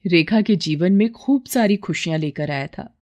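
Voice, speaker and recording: A woman's voice: on the low side, normal speed, a little mellow, dull audio. Woman narrating a children's moral story.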